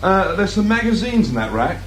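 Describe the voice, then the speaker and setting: An actor playing a polite, anxious English office worker with a hesitant, fussy manner in a British radio drama. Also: male voice, high voice, big pitch swings, brisk. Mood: anxiously obliging. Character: nervous, polite male office worker questioned by police. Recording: faint noise behind radio drama recording.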